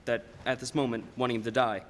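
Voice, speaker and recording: A young man, a high school actor, playing a patient boss recounting a life story in a measured radio play style. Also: level tone, natural voice. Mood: measured, storytelling. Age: young adult. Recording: hall reverb, faint noise, live stage.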